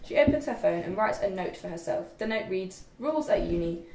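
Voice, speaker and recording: A woman's voice: mid-range voice, lively intonation, a little fast, vocal fry, a little mellow. A young woman reading screenplay directions aloud as narrator at a group table read. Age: young adult. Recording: distant echoey room, faint noise.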